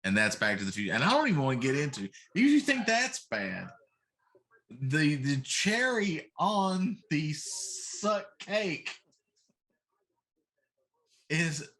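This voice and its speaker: A man's voice: a little high, sing-song, takes it slowly, pauses often, a bit bright. A middle-aged man hosting a casual talk show, chatting about games in an emphatic, complaining way.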